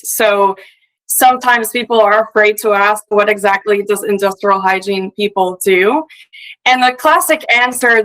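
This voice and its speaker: A middle-aged woman from Puerto Rico, a native Spanish speaker with a Puerto Rican accent, gives a relaxed, chatty conference talk over a video call with many fillers. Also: mid-range voice, low-quality recording. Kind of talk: remote conference presentation.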